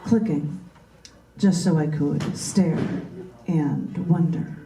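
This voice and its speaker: A woman's voice: sits low, slow, background noise. A woman poet at an open mic, reading her work and chatting casually. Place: large bookstore open mic, indoors. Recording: echoey room, distant microphone.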